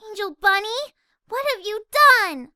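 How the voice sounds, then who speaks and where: A woman's voice: lively intonation, a little slow, no room sound, studio quality, full character voice. A woman voicing a timid, sensitive young female pegasus pony for cartoon fan fiction, speaking hesitantly in a delicate voice. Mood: angry, upset. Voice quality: soft, high, delicate voice. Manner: hesitant, stammering.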